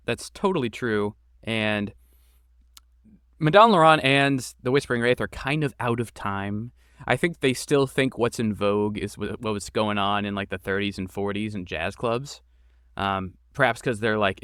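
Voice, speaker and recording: Smooth-voiced man talking thoughtfully. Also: on the low side, unhurried, dry recording, clean studio sound. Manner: thoughtful, relaxed, with frequent fillers. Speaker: game creator and voice actor. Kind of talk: podcast interview guest.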